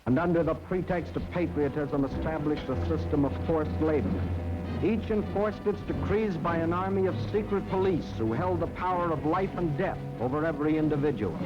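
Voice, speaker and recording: An American man narrating a scripted 1940s propaganda film in an emphatic, dramatic way. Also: on the high side. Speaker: documentary narrator. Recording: dull 1940s film soundtrack, loud music.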